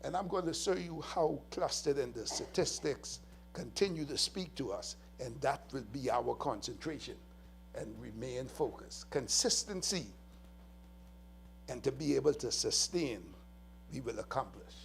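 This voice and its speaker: A Bahamian man addresses a press briefing in an earnest, emphatic way, pausing as he goes through his slides. His mid-range voice has a gravelly edge. A faint hum sits behind the recording. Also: medium pitch. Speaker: police official. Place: press conference room.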